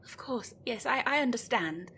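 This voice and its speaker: A woman's voice: a little high, lively intonation, moderate pace, sharp tone, slight background noise. A woman playing an anxious, desperate female gryphon in an audio roleplay, speaking in a hesitant, deferential, pleading way. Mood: fearful pleading.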